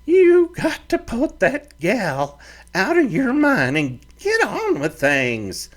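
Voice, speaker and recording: A small ranch mother with a fiery temper. She scolds sharply and bosses everyone around in a high, strained voice that swoops all over. She comes across as a fierce old-fashioned frontier matriarch. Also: wildly swooping pitch, slow, exaggerated voice. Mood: softened.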